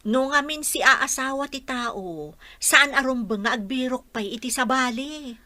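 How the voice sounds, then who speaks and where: A woman's voice: high voice, a little slow, pushed and bright, no echo. A woman acting a frank, admonishing villager in an Ilocano radio drama.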